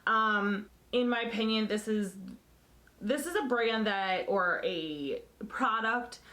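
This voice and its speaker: A woman talks straight to camera in a relaxed vlog chat, her voice hoarse and fraying as if she is losing it. She is candid and opinionated, blunt about what she won't spend money on, at a pitch in the middle for a woman. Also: slow pace, poor audio. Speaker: beauty vlogger.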